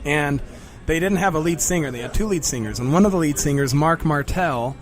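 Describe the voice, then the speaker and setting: A man who is a stand-up comedian, chatting and joking in an interview with a raspy voice, often clearing his throat. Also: on the high side, a little fast. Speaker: stand-up comedian, feature act. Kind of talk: casual interview chat. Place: pizzeria comedy venue.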